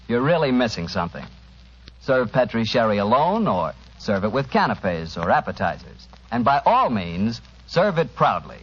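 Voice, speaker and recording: Lively American old-time radio announcer man. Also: medium pitch. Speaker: announcer and sponsor pitchman. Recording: dull, husky old radio recording.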